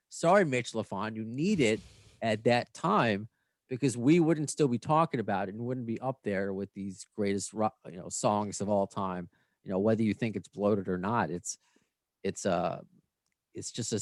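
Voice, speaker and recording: A man's voice: lowish voice, a little mellow, no echo. A man in his late thirties hosting a podcast, talking in a rambling way with many restarts. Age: 30s. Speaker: podcast host. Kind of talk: casual podcast chat.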